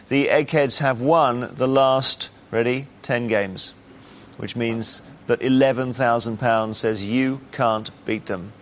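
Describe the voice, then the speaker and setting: A man's voice: medium pitch, a little hiss or hum. Dry-witted male quiz show host. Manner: dry, teasing banter.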